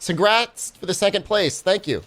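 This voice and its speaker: A man's voice: sits high, lively intonation, normal speed, fairly bright tone. A young man with a phlegmy cold voice, chatting casually with his stream while he plays, full of "I mean" and "like". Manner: rambling, lots of "I mean" and "like". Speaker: speedrun streamer.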